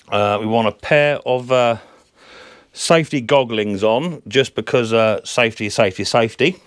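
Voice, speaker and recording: A man hosting a casual lawnmower repair vlog from his workshop, his voice croaky from a sore throat, chatty and rambling with lots of ums. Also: medium pitch, measured pace, a bit bright. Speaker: lawnmower repair channel host.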